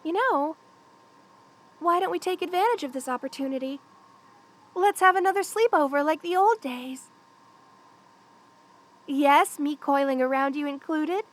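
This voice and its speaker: A woman's voice: high voice, measured pace, long pauses. A woman voicing a playful, affectionate young lamia best friend in a casual, teasing ASMR roleplay. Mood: cheerful, affectionate. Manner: casual, teasing, conversational with soft chuckles. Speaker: voice actor in audio roleplay. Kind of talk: ASMR roleplay, one-sided friendly chat.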